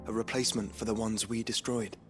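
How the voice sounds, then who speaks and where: A man playing a courtly nobleman from a fantasy game, his voice on the low side. He is earnest and thoughtful, choosing formal words. Music sits faintly underneath. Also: level tone, fast, natural voice. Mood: speculating. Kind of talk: dramatic video game acting.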